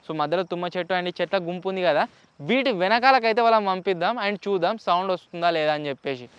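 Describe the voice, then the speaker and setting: A man's voice: high-pitched, animated, fast talker, a bit bright, clear, resonant tone, dry recording, highs cut off. A man with a Telangana Telugu accent presenting a gadget test as a vlog host, mixing in English words. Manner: mixes English words into speech. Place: outdoors in open area with trees.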